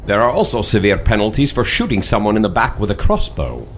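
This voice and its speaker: An actor playing an imposing, condescending gentleman commander in an audio drama, with dry sarcasm and ornate old-fashioned wording. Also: fairly low pitch, sing-song, a little fast, a little hiss or hum. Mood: brisk, wry. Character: imposing, sardonic gentleman commander in top hat.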